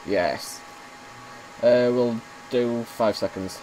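A man commentating casually on his own gameplay, slightly hoarse as he is losing his voice, muttering reactions as he plays. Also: medium pitch, very slow, a little mellow, a little hiss or hum, rough recording. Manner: chatty, mutters reactions while playing. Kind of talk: casual let's-play commentary.

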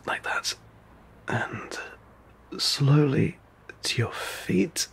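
A man playing a gentle, courteous old vampire in an intimate audio roleplay, speaking formally in hushed, soothing, measured tones. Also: fairly high pitch, animated, gravelly, breathy edge, in a whisper, exaggerated voice. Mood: grateful, courteous. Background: ambient sound effects.

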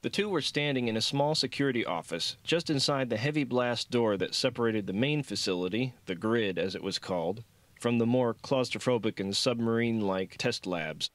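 A man's voice: medium pitch, no echo. A man reading scripted fiction as an audiobook narrator.

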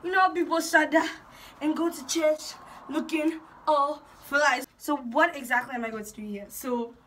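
A young woman from Ghana chatting casually and excitedly in an intro vlog, giggly and animated, recorded in a small bedroom. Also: high-pitched, moderate pace, a little hiss or hum. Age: young adult. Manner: bubbly, giggly, animated, gesturing. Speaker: YouTube vlogger.

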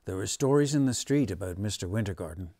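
A man in his sixties reading a children's story aloud expressively, in a measured, dramatic manner. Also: on the low side, lively intonation, vocal fry, warm tone, no echo. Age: 60s. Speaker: storybook reader. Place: living room at home, on a sofa.

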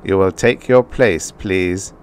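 An emotionless machine teacher, given voice by a male narrator, speaks in a flat metallic tone. The delivery is slow, low and carefully measured, without feeling. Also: clean tone. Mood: stern. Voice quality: metallic, even, unfeeling.

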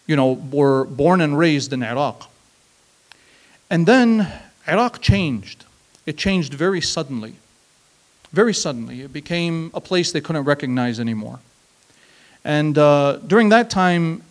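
A man in his thirties telling a personal story at an open mic on a small stage, reflective and measured, leaning on emphatic repeated phrases, speaking into a handheld mic. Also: fairly high pitch. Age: 30s. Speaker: spoken word performer. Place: small stage, seated on a stool.

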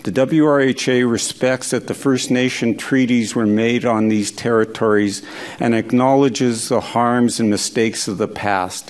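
A man hosting an event as an executive, giving steady, formal prepared remarks in a conference hall. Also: lowish voice. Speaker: health authority executive, event host.

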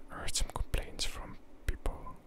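A young man, an ASMR creator, rambling and crude in a whisper at home. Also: a little slow.

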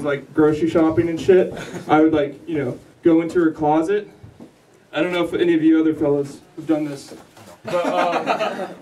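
A man doing rambling, self-mocking stage banter between songs, laughing through his lines, on a handheld mic in a small dark bar. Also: on the high side. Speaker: live musician between songs. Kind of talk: joking stage banter and singing. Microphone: handheld vocal mic through PA, filmed from the room. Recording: distant camera in a loud bar.

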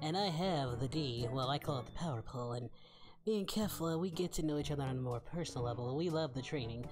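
A man playing an excitable, lecherous, cheerful anime warrior in a parody, hosting a casual reaction video in character. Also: fairly high pitch, quick pace, music in the background, natural voice. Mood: amused, smug. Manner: excitable, bawdy, exclaiming.

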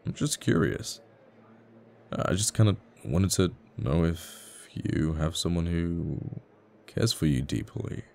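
A man playing a flirty, tender fighter pilot in a romantic ASMR roleplay, stammering bashfully and haltingly. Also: sits low, lively intonation, vocal fry, a little mellow, heavily acted. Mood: flustered, curious.

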